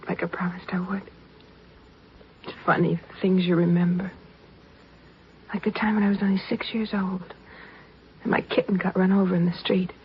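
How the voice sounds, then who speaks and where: Casting: woman for frightened, gentle young stowaway, 1950s radio style, tremulous and bravely resigned, dulled noisy old sound. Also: fairly low pitch, lo-fi sound, natural voice. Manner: tremulous, hesitant, plaintive. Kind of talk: 1950s radio drama acting.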